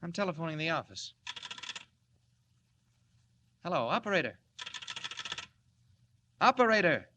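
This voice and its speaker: An actor playing a timid, earnest newspaper reporter who stammers when flustered, in 1940s radio style. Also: male voice, fairly high pitch, very animated, a little fast, highs cut off, background noise. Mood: flustered, pleading. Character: timid, anxious, mild-mannered male newspaper reporter.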